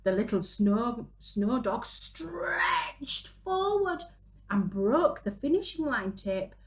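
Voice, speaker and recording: A woman, reading a picture book aloud to young children in an animated, dramatic way. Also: on the high side. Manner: animated, dramatic gasps and drawn-out exclamations. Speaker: children's storyteller, early years presenter. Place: small room at home, indoors.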